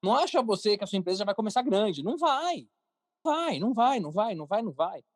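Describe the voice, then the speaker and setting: A man's voice: sits high, very animated, fast talker, dry recording. Brazilian man, informal and emphatic, dry close sound. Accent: Brazilian Portuguese. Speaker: podcast guest, cartoon creator and entrepreneur. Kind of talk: casual interview talk.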